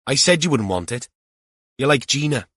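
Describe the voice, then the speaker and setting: A man's voice: mid-range voice, sing-song, moderate pace, a bit bright, breathy edge, dry recording, clean studio sound, pitched above the performer's own voice. A man voicing an earnest, rambling young male police officer in an audiobook. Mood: chatty, earnest. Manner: rambling, literal-minded, protesting.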